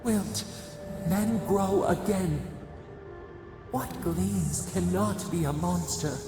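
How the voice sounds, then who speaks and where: Casting: otherworldly nature spirit for a game, grieving and riddling, extremely slow, deep fry in the voice. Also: roomy sound, over music, exaggerated voice. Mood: mournful, cryptic. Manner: slow, solemn, poetic and riddling. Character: ancient otherworldly nature spirit speaking as many, mournful and cryptic. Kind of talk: video game voice acting.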